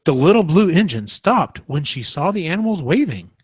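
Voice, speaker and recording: A man's voice: a little high, sing-song, moderate pace, slightly rough, no room sound, clean studio sound. A man, a podcast co-host, reading a comic parody storybook aloud.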